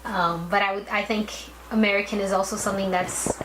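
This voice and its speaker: A young woman, born in Brazil, answers interview questions in a casual, thoughtful way, leaning on "like" and "you know." Her voice sits mid-range. The recording sounds roomy, with a clear layer of background noise. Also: medium pitch, fairly even intonation, brisk, fairly bright tone. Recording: echoey room with background noise.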